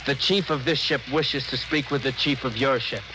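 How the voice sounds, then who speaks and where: An actor playing an eager, dutiful spaceship officer, brisk, earnest and deferential, in a 1950s radio drama. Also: male voice, medium pitch, lightly acted. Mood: formal. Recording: faintly noisy old radio broadcast.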